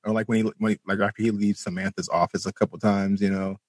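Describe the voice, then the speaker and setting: A man's voice: fairly low pitch, flat delivery, fast talker, no echo. A man talking casually about movies as a podcast co-host, rambling along and swearing freely. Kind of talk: casual movie discussion among friends.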